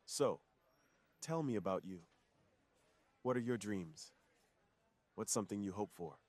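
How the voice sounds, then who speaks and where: A man's voice: lowish voice, expressive, measured pace, darkish tone. A man playing a weary, secretive superhero on a date, earnest and confiding, in an intimate ASMR roleplay. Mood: warm, open.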